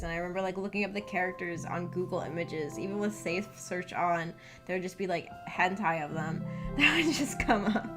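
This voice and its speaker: A woman streamer chatting casually to viewers, telling personal stories in a rambling, self-deprecating, hesitant and giggly way. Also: medium pitch. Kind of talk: casual livestream chat and personal storytelling. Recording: background music under the voice. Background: background music.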